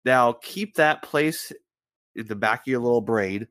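A man's voice: mid-range voice, measured pace, fairly bright tone, no echo, studio quality. A man with a head cold hosting a casual podcast, rambling through a story and stumbling over names as he swears offhandedly. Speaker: podcast host.

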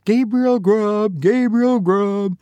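A male narrator puts on wild, unseen storybook goblins. The cry is high, eerie and slowly drawn out, like a chant. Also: mellow, clean tone, no room sound. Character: wild screaming chorus of invisible goblin voices.

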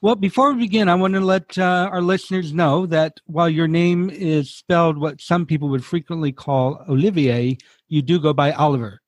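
A man's voice: a little high, fairly even intonation, moderate pace, hardly any pauses, no echo. Upbeat podcast host man. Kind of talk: interview hosting, scripted reads.

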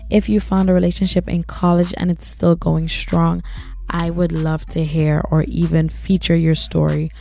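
Low, husky-voiced young woman podcaster. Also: level tone, moderate pace, warm tone, faint music behind. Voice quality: husky, raspy. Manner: casual, chatty advice-giving, frequent "like". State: losing her voice. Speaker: podcast host. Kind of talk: solo podcast monologue.

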